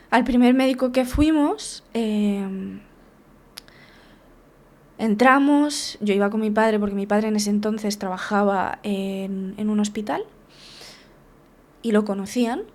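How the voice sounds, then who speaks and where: A woman's voice: mid-range voice, measured pace, darkish tone. Frank woman recounting her story. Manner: candid, frank, with many restarts and tag questions. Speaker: therapist, guest. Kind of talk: personal storytelling in an interview.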